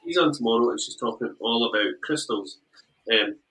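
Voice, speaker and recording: A man's voice: medium pitch, animated, normal speed, pushed and bright, rough recording. A man with a Scottish accent hosting a show, chatting casually and teasing his guest in a jokey way. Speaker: podcast host. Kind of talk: casual chat.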